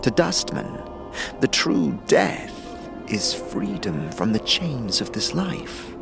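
Man acting a slow, solemn death-cult mourner. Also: on the low side, very animated, gravelly, heavily acted. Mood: solemn, detached. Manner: slow, grave, formal, detached phrasing. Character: solemn Dustman mourner who renounces all emotion. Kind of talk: fantasy audio drama acting. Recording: background music under the voice.

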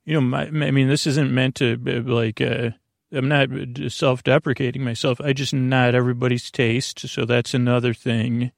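Creaky-voiced, meandering man hosting a podcast. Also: medium pitch, flat delivery, no echo, studio quality. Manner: meandering, halting, full of tangents; soothing, lulling delivery. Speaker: podcast host. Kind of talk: rambling bedtime podcast introduction.

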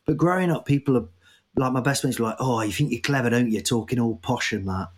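A man with a Nottingham accent chats loosely on a comedy podcast, sounding worn out. He rambles and mocks himself, his pitch sitting mid-range for a man. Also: fast, slightly rough. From Nottingham, England. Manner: rambling, self-mocking, breaks into laughs. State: tired. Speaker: podcast host. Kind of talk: casual comedic chat.

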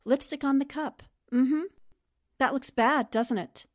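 A woman playing a confident, sharp-witted newspaperwoman sleuth in 1940s radio drama style, brisk and knowing. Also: high voice. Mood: composed.

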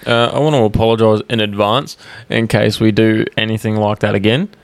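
A man's voice: lowish voice, flat delivery, brisk, no echo. A young man with an Australian accent chatting casually as a podcast co-host, blokey and full of slang and swearing. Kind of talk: casual chat. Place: small home gaming room.

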